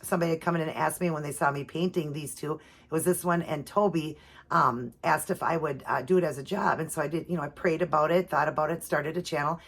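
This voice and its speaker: A woman from the with a low-pitched voice, hoarse and raspy because her allergies are acting up. She talks to her viewers in a loose, chatty way, often pausing with um and you know. She sounds middle-aged. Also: steady tone. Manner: chatty with many fillers. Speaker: doll channel host. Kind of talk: casual video chat.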